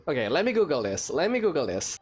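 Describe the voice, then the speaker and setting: A woman's voice: sits low, very animated, quick pace, over music. A woman giving casual, chatty and slightly hesitant commentary while playing a video game. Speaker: gamer narrating a playthrough.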